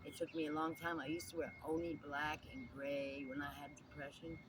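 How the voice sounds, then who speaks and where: A woman's voice: sits low, fairly quick. A middle-aged woman with a New Jersey accent, talking candidly and animatedly in an interview. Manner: chatty and animated, calls the listener girl. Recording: distant mic with loud outdoor noise.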